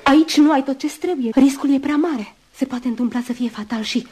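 A woman's voice: high-pitched, a little mellow, natural voice. An actress playing an anxious, devoted woman, earnest and pleading, in a Romanian radio play. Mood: worried, pleading. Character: anxious, devoted, self-sacrificing woman helping the doctor. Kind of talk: Romanian radio theatre acting.

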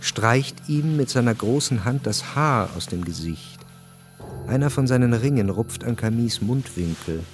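A man narrates a radio drama in a literary, scripted style. His voice is medium in pitch, set over clearly audible music. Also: lively intonation, unhurried.

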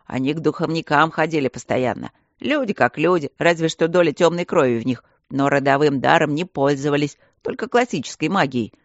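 A woman's voice: low-pitched, a little fast, few pauses, dry recording, muffled recording. A woman voicing a fussy, doting old male stone guardian in an audiobook, lecturing primly like a schoolmistress. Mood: confiding. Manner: prim, coddling, schoolmistress-like lecturing.

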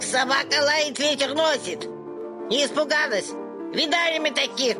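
A woman's voice: high-pitched, moderate pace, lightly acted. An actress playing a stubborn, whining old petitioner woman in a radio play, folksy and repetitive, wheedling and scolding. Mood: defiant, scolding. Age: older. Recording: music behind the voice.

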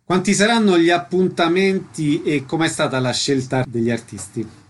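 A man's voice: on the high side, moderate pace. A man presenting on radio as host and interviewer, in a concise announcer-like way.

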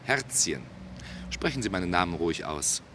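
A probing police investigator in a radio drama, speaking in a low, very animated voice. He is polite but drily ironic and insistent. Faint noise sits behind the voice. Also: normal speed. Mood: teasing. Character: probing, courteous, dryly teasing male police investigator. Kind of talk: German radio play acting.

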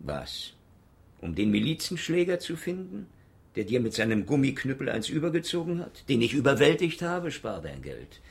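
An actor playing a hard-drinking, sardonic male private detective in a radio drama, gruff, mocking and deadpan. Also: medium pitch, moderate pace. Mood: sarcastic, irritated.

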